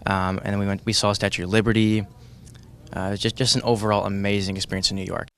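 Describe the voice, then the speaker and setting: A young man giving casual, halting interview answers with many ums, as a student interviewee. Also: fairly low pitch, level tone, fairly bright tone. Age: young adult.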